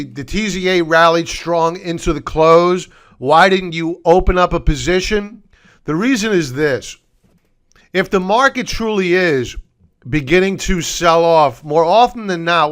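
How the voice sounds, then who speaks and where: A hoarse, middle-aged man, losing his voice, hosting a solo stock-market recap over charts and walking viewers through his reasoning. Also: high voice, a bit bright. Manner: deliberate, explanatory, addresses viewers as folks. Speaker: market commentary host.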